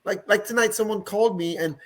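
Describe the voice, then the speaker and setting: A man's voice: high voice, fast talker, fairly bright tone. A man from Dominica with a Dominican accent worn by years abroad, a panel guest speaking confidently and emphatically with joking asides. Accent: Dominican, mixed with years abroad. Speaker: guest, licensed professional. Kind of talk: panel discussion.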